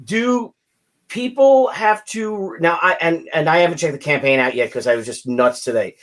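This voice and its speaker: A man's voice: a little high, normal speed, a bit bright. A man co-hosting a casual interview, putting rambling questions that circle before they land. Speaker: show co-host.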